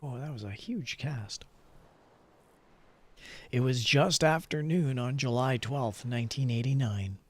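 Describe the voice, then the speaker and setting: A man's voice: medium pitch, unhurried, pauses often, breathy edge. A man streaming a game, switching between playful chatter and theatrical story read-aloud.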